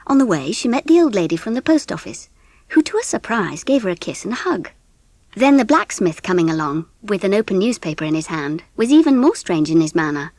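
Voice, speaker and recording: A woman with an English accent giving an expressive, measured narration of a scripted storybook. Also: medium pitch, dry recording. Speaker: audiobook narrator.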